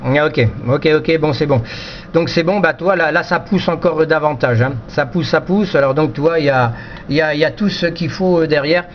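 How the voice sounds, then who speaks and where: Choppy-talking man, slightly high voice. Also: fairly quick. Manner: choppy, repetitive, explanatory. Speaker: amateur radio operator. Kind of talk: casual technical radio chat. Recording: slightly dull, faintly noisy radio chat recording.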